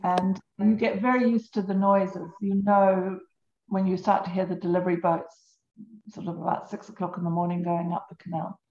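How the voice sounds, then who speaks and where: A woman's voice: fairly low pitch, flat delivery, moderate pace, a little mellow. An older woman from New Zealand telling her own story as an interview guest in conversation. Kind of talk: unscripted personal storytelling in conversation. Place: home on a canal in Venice. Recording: echoey room, slightly dull sound.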